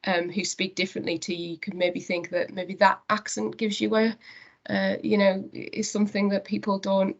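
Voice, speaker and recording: A woman's voice: mid-range voice, level tone, normal speed, dull audio. A woman with a Northeast English accent speaking earnestly in a conversational interview as a company director. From Northeast England.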